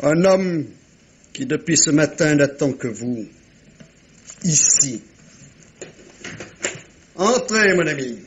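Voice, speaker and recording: An actor playing a shrewd, self-assured middle-aged detective in a French radio mystery, commanding and theatrical, speaking with deliberate dramatic pauses. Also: male voice, fairly high pitch, lively intonation, husky, full character voice. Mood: triumphant. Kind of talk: 1950s-style French radio mystery acting.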